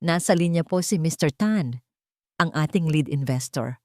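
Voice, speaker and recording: A woman's voice: sits low, lively intonation, normal speed, dark tone, no room sound, studio quality. A woman voicing an anxious, deferential office secretary who speaks politely and urgently in an audiobook drama.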